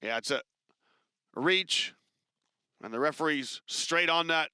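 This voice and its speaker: Bright, crisp male basketball commentator. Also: fairly high pitch, dry recording, studio quality. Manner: brisk, chatty, rises with excitement on big plays. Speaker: play-by-play commentator.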